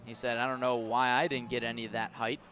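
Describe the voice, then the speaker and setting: A man doing live radio baseball play-by-play, describing each pitch in detail and filling in with player stories. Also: fast talker. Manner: descriptive, storytelling between pitches, rising to excited calls on big plays.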